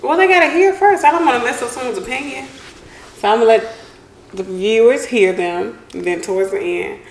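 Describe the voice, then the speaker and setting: A woman's voice: fairly high pitch, fairly quick. A woman co-hosting a podcast, trading blunt, joking banter in casual chat. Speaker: podcast co-host. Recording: echoey room with background noise.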